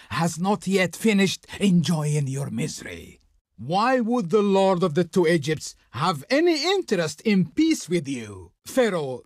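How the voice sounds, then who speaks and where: Casting: haughty ancient Egyptian court envoy in a strategy game; high voice, swooping delivery, flowery and pompous. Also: male voice, no echo, studio quality, full character voice. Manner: pompous, declamatory. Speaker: game voice actor playing a diplomat. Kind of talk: theatrical scripted game dialogue.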